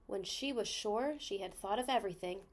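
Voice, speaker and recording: A woman reading a children's story aloud expressively, stressing key words with deliberate phrasing. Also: medium pitch, a little hiss or hum.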